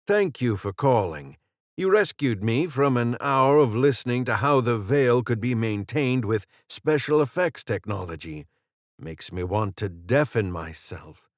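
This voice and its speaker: A man's voice: mid-range voice, lively intonation, unhurried, no room sound, studio quality, lightly acted. A man voicing an aging British council leader, articulate, formal and wry, in a fantasy audiobook. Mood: wry, friendly.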